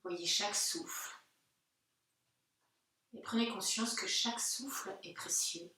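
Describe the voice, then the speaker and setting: Echoey, distant woman guiding relaxation. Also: medium pitch. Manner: gentle, unhurried, pausing between short phrases. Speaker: yoga teacher. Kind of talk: guided Yoga Nidra relaxation instruction. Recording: very echoey room, distant microphone.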